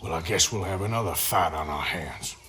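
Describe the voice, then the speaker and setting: An actor playing a weary, remorseful outlaw gunslinger in a video game, with a rural American drawl and a halting, plain-spoken manner. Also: male voice, deep voice, bright, forceful tone, slight background noise. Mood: defiant, subdued. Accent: American, rural Western drawl. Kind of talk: dramatic video game acting.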